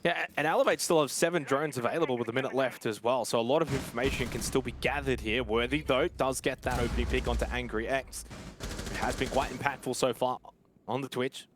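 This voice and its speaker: A man's voice: mid-range voice, background noise. A man giving analytical live commentary on an esports match. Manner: analytical, measured play-by-play. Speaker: esports caster.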